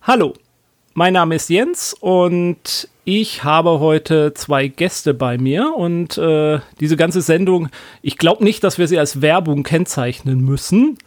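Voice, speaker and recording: A man's voice: on the high side, slow pace, dry recording. Chatty man hosting a podcast, dry sound. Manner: chatty, hesitant with many fillers. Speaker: podcast host. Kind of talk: casual podcast conversation.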